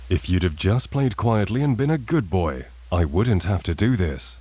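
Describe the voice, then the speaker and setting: A man voicing a soft-spoken uncle who turns out to be secretly menacing, in an animated horror short film. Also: low-pitched, measured pace, husky, exaggerated voice.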